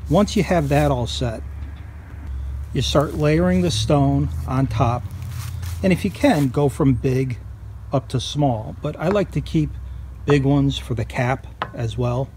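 A man's voice: medium pitch, slow pace, a little mellow. Plain-spoken man, noisy outdoor recording. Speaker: farm vlogger. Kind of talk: casual how-to narration. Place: outdoors on a farm property. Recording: outdoor noise behind the voice.

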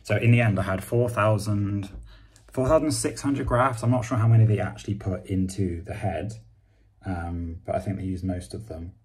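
A young man with a British accent talking casually to camera in a halting, understated way, with a husky, gravelly voice. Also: fairly low pitch, steady tone, a little mellow. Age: young adult. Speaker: vlogger. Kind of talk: casual vlog talk to camera.

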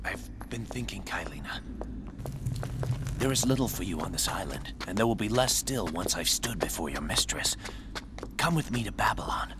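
An actor playing a brooding, desperate young warrior prince in a video game, with intense, dramatic delivery. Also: male voice, on the low side, animated, moderate pace, heavy background noise, lightly acted. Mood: brooding, hushed.

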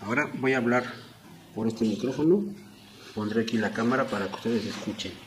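Man, middle-aged, medium pitch, step-by-step tutorial narration, deliberate short phrases, recorded indoors at home. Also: a little hiss or hum. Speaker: product demonstrator, host. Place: small indoor room at home.

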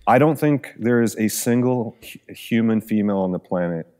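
A man with a deep voice, a musician guest on a podcast, talking in a relaxed, joking way and swearing often. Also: normal speed. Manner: relaxed, profane, jokey. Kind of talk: casual interview chat.

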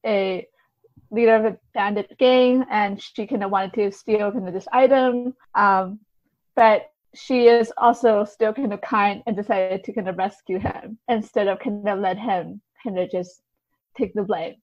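A woman's voice: mid-range voice, steady tone, a little slow, no echo, dull audio. A woman speaking as a podcast guest in a casual literary discussion, informally hedging her points with many small filler phrases. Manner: hedging, informal, repeats "kind of" and "like".

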